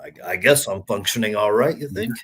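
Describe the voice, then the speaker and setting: A man's voice: fairly low pitch, brisk, fairly bright tone, breathy edge. A man hosting a casual live podcast, with a showy, announcer-like way of talking. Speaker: podcast host.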